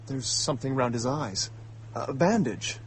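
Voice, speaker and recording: Uneasy detective's companion, noisy hum. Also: male voice, medium pitch, animated, unhurried. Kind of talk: radio drama acting.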